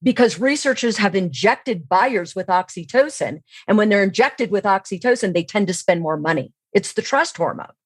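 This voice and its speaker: Woman, medium pitch, podcast guest, explanatory, instructive, self-answered questions, very little room sound. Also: expressive, moderate pace, hardly any pauses, breathy edge, dry recording. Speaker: guest, sales training expert. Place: remote online call.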